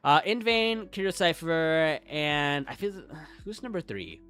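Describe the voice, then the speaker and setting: Chatty Midwestern American guy, lively pitch. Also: a little slow, smooth, faint music behind. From Midwestern United States. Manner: rambling, chatty, frequent "like" fillers, self-deprecating. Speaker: streamer. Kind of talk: casual live-stream chat.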